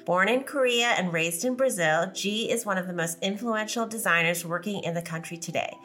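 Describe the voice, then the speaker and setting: A woman's voice: medium pitch, fairly quick, sharp tone, faint music behind. A woman hosting a podcast, reading a scripted introduction and then asking interview questions. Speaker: podcast host.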